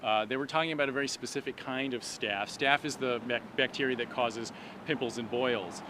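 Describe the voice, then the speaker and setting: A man speaking as a doctor and activist, explaining an issue in measured, articulate phrases during a street interview. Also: medium pitch, bright, forceful tone. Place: outdoors on an urban street at a protest. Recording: noisy city street with traffic. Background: street and traffic noise.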